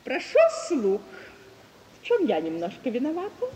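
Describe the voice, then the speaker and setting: A woman's voice: fairly high pitch, huge pitch swings, normal speed, warm tone, slight background noise. An actress playing a sly, teasing provincial society woman, arch and knowingly ironic, in a 1950s Russian radio stage comedy. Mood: mock-confiding. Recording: echoey hall, 1950s radio recording.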